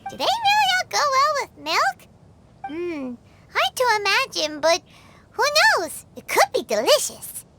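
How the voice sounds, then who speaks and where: A woman voicing a small, childlike, excitable fairy companion in a video game. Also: extremely high pitch, a little slow, very noisy, heavily acted. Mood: doubtful. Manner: speaks of self in third person, exclaiming. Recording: music behind the voice.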